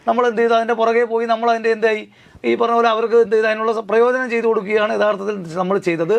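Lawyer type, high voice, rattles along nonstop with rhetorical questions. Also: male voice, level tone, gravelly. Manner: rapid, repetitive, keeps asking himself rhetorical questions. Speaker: lawyer guest. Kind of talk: legal commentary in a studio interview.

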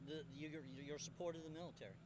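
A friendly man from California answers questions outdoors, halting now and then. His voice sits fairly high. Clear outdoor noise surrounds him. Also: steady tone. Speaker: guest interviewee. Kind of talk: casual interview. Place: outdoor park event.

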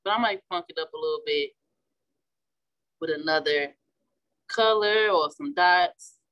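Woman, 30s, Midwestern accent, relaxed and chatty craft instructor, often restarts mid-sentence. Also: low voice, a little slow, highs cut off. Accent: Midwestern American. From St. Louis, Missouri. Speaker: florist and class instructor. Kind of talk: casual demonstration while painting. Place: indoor flower shop worktable.

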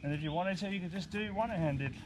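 A man in his forties with a slightly high voice talks through a practical bushcraft task. His delivery is informal, like someone explaining while working with his hands. He is recorded outdoors in woodland, with some background noise. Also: fast talker. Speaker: bushcraft instructor. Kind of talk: casual hands-on demonstration.

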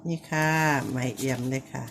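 A woman, called auntie by herself, giving a casual home demonstration, speaking politely and repeating short pointing phrases with soft polite endings. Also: a bass voice, steady tone, normal speed, creaky, slight background noise. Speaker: vlogger showing how to clean banknotes.

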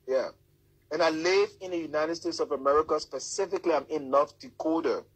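A man's voice: a little high, brisk, husky, poor audio. A middle-aged man from Liberia with a Liberian English accent, talking on a live video call from inside a car, often repeating and restarting his words. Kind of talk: casual live-stream chat. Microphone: phone with earphones, video call.

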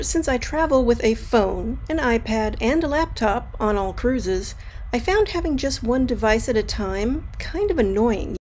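A woman's voice: mid-range voice, darkish tone, a little hiss or hum, low-quality recording. A woman travel vlogger narrating a scripted voice-over review in a wry, matter-of-fact way.

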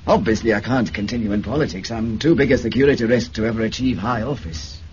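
An actor playing a resigned, thoughtful politician with a Scottish accent in a radio drama. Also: male voice, fairly low pitch, fast, a little mellow, natural voice. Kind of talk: 1970s radio drama acting. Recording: dull, faintly noisy radio recording.

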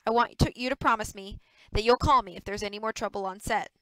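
A woman's voice: medium pitch, fast, fairly bright tone, dry recording, lightly acted, low-quality recording. A woman voicing a fussy, protective male talent agent with an earnest, gushing manner in an audiobook.

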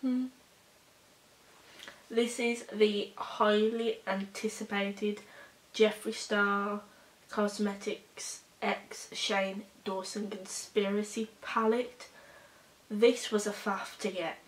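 A young woman with a throat still hoarse from a cold, talking unhurriedly through a makeup tutorial. She rambles and restarts, with plenty of fillers. The room around her is echoey. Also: mid-range voice, flat delivery. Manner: rambling, halting, with many fillers. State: hoarse, recovering from a cold, coughing. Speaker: beauty vlogger. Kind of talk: casual makeup tutorial chat. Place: small bedroom indoors.

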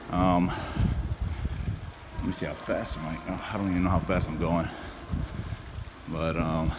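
A man chatting casually and excitedly to viewers as he films himself riding a bicycle. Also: low voice. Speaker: vlogger narrating his bike ride. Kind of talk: casual first-person vlog chat. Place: riding outdoors and through a long road tunnel. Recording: loud wind and ride noise on mic.

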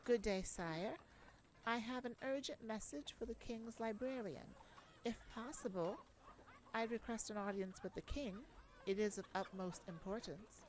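Woman doing brave worried princess for asmr roleplay, slow gentle earnest, noisy recording. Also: a little high, pitched above the performer's own voice, natural voice. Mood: firm, commanding. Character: brave, worried young princess on a secret mission. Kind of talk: ASMR audio roleplay to the listener.

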